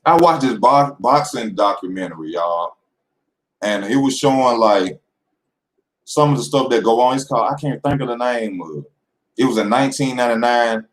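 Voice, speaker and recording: A man's voice: mid-range voice, expressive, moderate pace. Rambling young man in an echoey room. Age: 20s. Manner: loose, rambling and slangy, addressing viewers directly. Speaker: boxing channel host. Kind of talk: casual vlog monologue.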